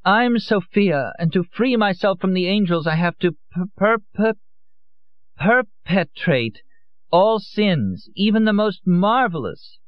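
A man's voice: fairly high pitch, big pitch swings, normal speed, dry recording, highs cut off, pitched above the performer's own voice. A man voicing a drunk, theatrical young woman who drawls coyly and stumbles over her words. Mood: drunken coy. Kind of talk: audiobook character voice.

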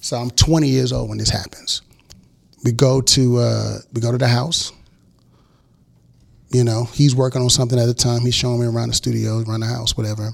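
A man with a gravelly, creaky voice in his forties, talking casually in an interview. He tells a long story and acts out what people said to him. His pitch sits in the middle for a man. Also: fairly quick. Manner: animated storyteller acting out quotes. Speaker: guest record producer.

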